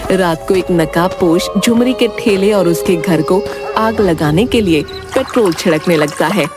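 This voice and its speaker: A woman's voice: fairly low pitch, a little slow. A woman giving scripted narration for a cartoon village tale. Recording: music behind the voice.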